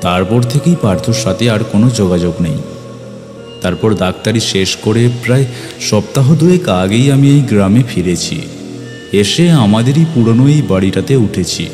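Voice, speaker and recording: A man playing an earnest, caring village doctor who narrates his own ghost story in a dramatic, expressive way. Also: lowish voice, a little fast, lightly acted. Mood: suspenseful narration. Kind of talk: dramatic first-person horror story narration. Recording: loud music behind the voice. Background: music.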